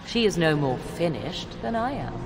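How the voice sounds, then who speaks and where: A woman playing a proud, secretive witch in a video game, speaking in formal, archaic phrases with a haughty, measured manner. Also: sits low, very animated, over music. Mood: grave, ominous. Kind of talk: dramatic video game acting. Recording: long hall reverb, clear background noise.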